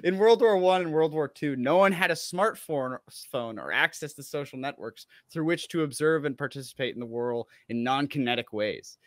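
Higher-voiced man with sarcastic asides. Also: normal speed, hardly any pauses, no echo, studio quality. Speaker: co-host reading an article aloud. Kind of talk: mocking article read-aloud with commentary.

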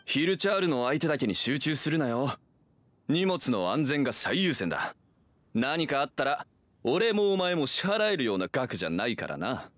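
A man playing a plain-spoken, gruff, anxious winery warehouse manager in an anime game. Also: mid-range voice, sing-song, normal speed, fairly bright tone. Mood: earnest.

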